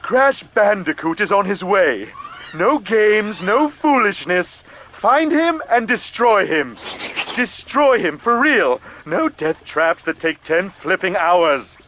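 An actor playing a petty, gleefully mean mad scientist in a video game cartoon, sarcastic and gloating. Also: male voice, high-pitched, very animated, slow, fairly bright tone, a little hiss or hum, full character voice. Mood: commanding. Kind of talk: animated video game cutscene acting.

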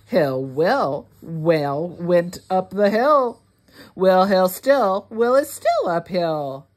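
A woman reading a rhyming picture book aloud for young children, word by word, with deliberate expression. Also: fairly low pitch. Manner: deliberate, word-by-word, expressive delivery for early readers. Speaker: children's read-along host.

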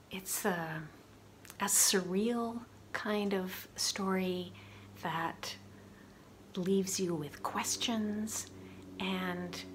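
A woman hosting a book channel, talking through her recent reads in a relaxed, musing way with frequent pauses. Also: mid-range voice, slight background noise. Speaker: booktube host. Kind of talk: casual book review.

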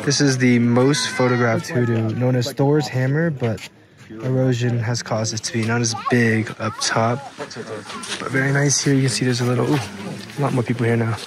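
A man's voice: medium pitch, little pitch movement, moderate pace, crowd noise. A young man narrating a casual travel vlog in a matter-of-fact, informative way. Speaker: travel vlogger. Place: outdoors at a snowy canyon overlook. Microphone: phone camera at arm's length.

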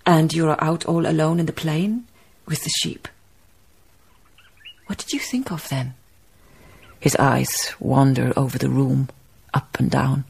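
Husky, slow-speaking melancholy Danish baroness. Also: female voice, deep voice, steady tone, darkish tone, full character voice. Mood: musing. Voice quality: husky, breathy, creaky. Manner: slow, musing, literary. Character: Karen Blixen, reflective, melancholy Danish baroness recalling her life. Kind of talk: radio drama narration.

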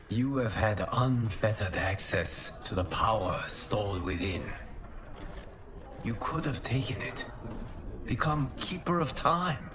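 An earnest warrior god in a game cutscene. His voice is lowish and slow, with formal, thoughtful phrasing. Clear ambient noise sits behind it. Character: earnest, noble male warrior god, burdened leader. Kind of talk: video game cutscene acting.

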